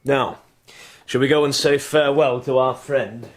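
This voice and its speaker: An actor playing a blunt, hard-bargaining barbarian army commander in a radio drama, measured and weighty. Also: male voice, mid-range voice, fairly even intonation, close to an everyday voice. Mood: shrewd.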